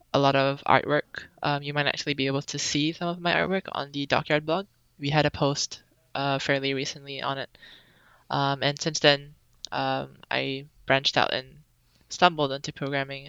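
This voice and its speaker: A young woman from Singapore chats as a podcast guest, relaxed and rambling with plenty of ums. Her voice is bright and crisp with a gravelly edge. The recording is dry and a little dull, like a lo-fi remote call. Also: rumbling low, dry recording. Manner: relaxed, chatty, many ums. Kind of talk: casual interview chat.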